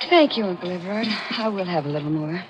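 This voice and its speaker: A woman's voice: lowish voice, animated, quick pace, lightly acted. A woman playing an anxious, insistent young bride in a 1940s radio mystery, urgent and faltering. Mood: uneasy. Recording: noisy, muffled old radio recording.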